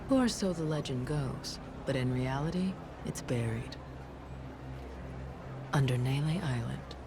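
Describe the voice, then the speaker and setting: A woman's voice: very deep voice, expressive, measured pace, noisy background, full character voice. An actress voicing a tough, self-assured young woman bodyguard in a video game cutscene, casual and slangy. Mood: businesslike.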